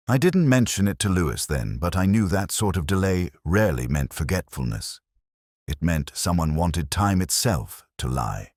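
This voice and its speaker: Male narrator for a literary detective mystery: very low, husky voice, wry and measured. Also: no echo, studio quality. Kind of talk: scripted first-person detective mystery narration.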